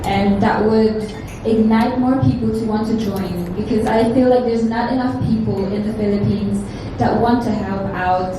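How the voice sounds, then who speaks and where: Woman, heartfelt press-conference answer, strongly reverberant room with clear background noise. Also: medium pitch, steady tone, normal speed, hardly any pauses. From France. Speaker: pageant titleholder. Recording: echoey hall, distant mic, clear noise.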